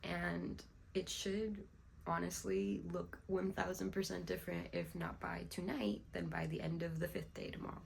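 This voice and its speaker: A young woman, a little hoarse, musing aloud in a casual vlog and clearing her throat often. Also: fairly low pitch, level tone, normal speed, slight background noise. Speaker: vlogger. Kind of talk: casual reflective vlog talk.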